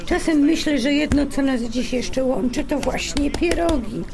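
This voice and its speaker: A woman's voice: high voice, measured pace, creaky. An actress playing a frank, bossy but caring wife who scolds her husband and probes her friend. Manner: blunt and teasing, scolds and probes. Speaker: Polish wife cooking pierogi. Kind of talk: audio drama acting.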